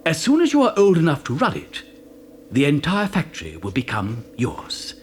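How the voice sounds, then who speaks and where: An actor playing an eccentric, excitable old chocolate factory owner in a children's audio drama, with a theatrical, stammering delivery. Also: male voice, a little high, normal speed, slight background noise. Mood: earnest. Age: older.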